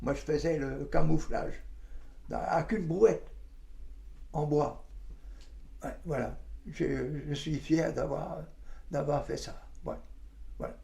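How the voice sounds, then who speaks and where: An elderly man in his nineties gives testimony about his memories at a very unhurried pace. His voice is gravelly and mellow, and he speaks haltingly in everyday words, circling back to repeat phrases. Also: on the high side. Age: 90s. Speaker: interviewee, war witness. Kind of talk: reminiscing testimony in interview.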